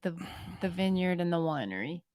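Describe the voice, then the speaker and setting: A woman's voice: lowish voice, very animated, a little fast, darkish tone, dry recording, clean studio sound. A woman co-hosting a podcast in casual chat, questioning and pushing back playfully. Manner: skeptical, questioning, playful pushback. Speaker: podcast co-host.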